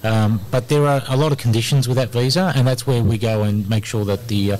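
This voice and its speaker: A man's voice: medium pitch, fairly even intonation, fairly quick, a little mellow. A middle-aged Australian man, an immigration lawyer, talking energetically in a casual radio interview with plenty of ums and uhs, recorded in a community centre hall.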